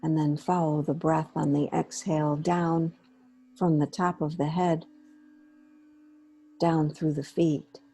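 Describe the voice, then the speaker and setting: Slow, low-voiced woman guiding a meditation. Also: level tone, slightly rough, rough recording. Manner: unhurried, gentle, pausing between short phrases. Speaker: guided meditation leader. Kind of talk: guided meditation, slow soothing instruction.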